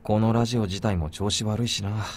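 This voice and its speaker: An actor voicing a calm young male protagonist in a visual novel, speaking quietly and matter-of-factly. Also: lowish voice, racing, slight background noise, close to an everyday voice. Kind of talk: anime visual novel voice acting. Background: soft game music.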